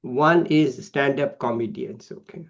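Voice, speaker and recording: A man's voice: medium pitch, measured pace, vocal fry. A man with an Indian accent interviewing someone in a casual, conversational style. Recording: slightly dull, lo-fi sound.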